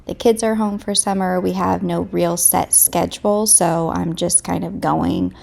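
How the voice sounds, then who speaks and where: A woman in her thirties, a mother vlogging at home, chatting candidly to the camera, her voice a bit raspy and stuffed up. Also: on the low side, steady tone, a little slow, darkish tone. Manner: candid and chatty, thinking aloud. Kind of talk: casual chat to camera.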